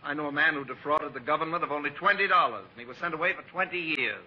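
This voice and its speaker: A man's voice: fairly high pitch, fast talker, dull audio, slight background noise, natural voice. A man playing an exasperated psychiatrist with dry, sardonic, mock-professional delivery in an old-time radio comedy, on a vintage recording. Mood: dry, matter-of-fact. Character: exasperated male psychiatrist with dry, wry wit.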